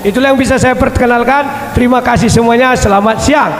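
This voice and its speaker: A middle-aged man with a cold introduces himself as a debater, speaking emphatically and clearing his throat often. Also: fairly even intonation, brisk, a faint crowd, a little hiss or hum. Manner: emphatic, rising pitch, frequent throat clearing. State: has a cold, coughing. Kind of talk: self-introduction at a live debate. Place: large echoey hall. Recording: echoey hall with long reverb.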